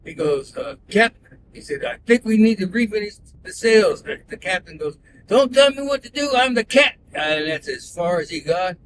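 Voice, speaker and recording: Rambling man, high voice, road rumble. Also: animated, moderate pace, low-quality recording. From born in Belgium, raised in Canada. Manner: folksy, digressive, with frequent "uh" and restarts. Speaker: rideshare passenger telling sailing stories. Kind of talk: rambling casual storytelling. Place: inside a moving car. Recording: hidden mic in a car, road noise.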